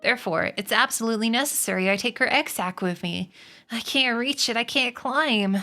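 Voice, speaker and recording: A woman reading a storybook aloud and voicing a frantic young male pig who pleads in a high voice. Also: moderate pace, sharp tone, soft background music. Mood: pleading.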